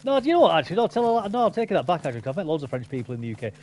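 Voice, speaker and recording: Rambling opinionated man, fast. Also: a little high, big pitch swings, darkish tone. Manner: rambling, opinionated, many restarts and fillers. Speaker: gamer chatting with a friend. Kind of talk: casual chat over gameplay.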